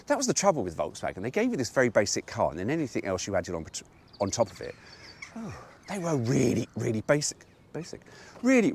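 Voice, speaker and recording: A British man reads aloud in a relaxed storytime manner and keeps stopping for wry comments of his own. His pitch jumps around a lot, from low mutters to high, bright exclamations. He sounds like a regular host talking to his viewers. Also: slight background noise. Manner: chatty, wry asides, laughs at own jokes. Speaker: host reading a car brochure. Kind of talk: casual storytime reading.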